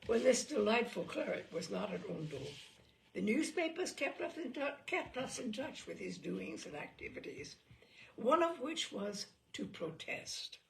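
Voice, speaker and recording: A woman reads a comic memoir aloud with a wry, amused delivery. Her voice has a strong gravelly fry, and her pitch rises and falls in lively swoops. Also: measured pace, slight background noise. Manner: wry, playful, amused delivery with occasional slips and laughs. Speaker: reader of humorous essays. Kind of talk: expressive reading of a comic memoir.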